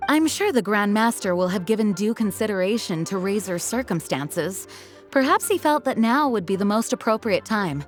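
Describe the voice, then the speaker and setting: A dutiful young woman knight from a video game, voiced by an actress. She speaks at a middle pitch in a composed, courteous and earnest way. Faint music plays underneath. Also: lively intonation, normal speed, natural voice. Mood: considerate. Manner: polite, earnest, explanatory.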